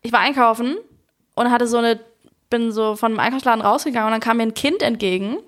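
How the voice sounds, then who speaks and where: A woman in her twenties co-hosting a casual chat, telling stories in a relaxed, playful way. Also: a little high, fast talker. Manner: relaxed storytelling, playful, laughs along. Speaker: podcast co-host.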